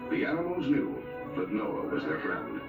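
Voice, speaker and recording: Guy narrating an old 70s film documentary, pitch moves around a lot, echoey, big music behind him. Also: huge pitch swings, normal speed, gravelly, phone-quality sound. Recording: roomy, distant 1970s film soundtrack. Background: loud music.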